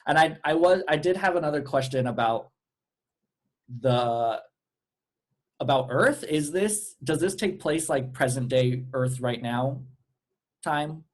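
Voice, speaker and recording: A man's voice: fairly high pitch, unhurried, long pauses. A man giving script feedback as a cast member, thinking aloud in a casual, hesitant but opinionated way. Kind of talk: casual group discussion.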